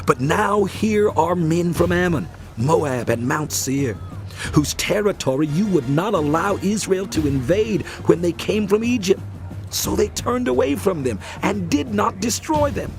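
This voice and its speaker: An impassioned, gravelly, pleading king. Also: sits high, lively intonation, unhurried. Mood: anguished pleading. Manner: impassioned, declamatory, imploring. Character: pleading devout king of Judah, fervent, anguished. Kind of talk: dramatized audio Bible acting. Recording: music behind the voice.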